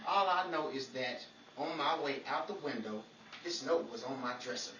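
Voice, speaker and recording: A man's voice: mid-range voice, expressive, moderate pace, lightly acted. A man playing a shifty, flustered amateur sleuth in a 1940s-style radio comedy, stammering and repeating himself in exasperation. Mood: confiding. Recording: roomy, distant, very noisy live recording.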